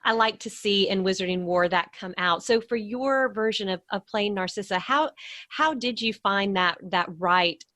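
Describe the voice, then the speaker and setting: A woman's voice: medium pitch, moderate pace, pushed and bright, dry recording, studio quality. A woman hosting a casual interview, putting her questions and thoughts in a tentative, thoughtful way. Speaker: podcast host, interviewer.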